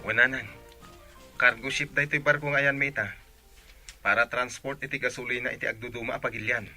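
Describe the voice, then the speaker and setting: Young Ilocano sailor boyfriend, very sharp forceful tone, radio play. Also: male voice, medium pitch, fairly even intonation, fairly quick, faint music behind. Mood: reassuring. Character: young seaman, defensive boyfriend and reassuring son. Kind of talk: radio comedy-drama acting.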